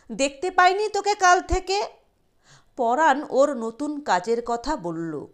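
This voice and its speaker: A woman reading a literary short story aloud as its narrator. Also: sits high.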